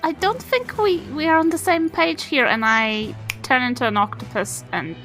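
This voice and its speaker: A woman's voice: sits high, normal speed, poor audio. A woman playing a tabletop game, chatting casually as she improvises her moves. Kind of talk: casual chat, improvised play. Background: faint music.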